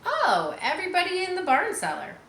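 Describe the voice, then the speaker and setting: A woman's voice: extremely high pitch, huge pitch swings, a little fast, vocal fry, fairly bright tone, echoey room, slight background noise, voice pushed up, exaggerated voice. A woman reading the part of an earnest, chatty young farm girl in a storybook, eager and matter-of-fact.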